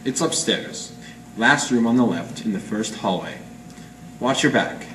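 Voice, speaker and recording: A man's voice: medium pitch, expressive, measured pace, fairly bright tone. A man playing a world-weary, cynical small-time detective in 1940s radio noir style, with wry, clipped hard-boiled slang. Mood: businesslike. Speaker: amateur stage radio-drama actor. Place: live performance in an art gallery. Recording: distant mic in echoey noisy room.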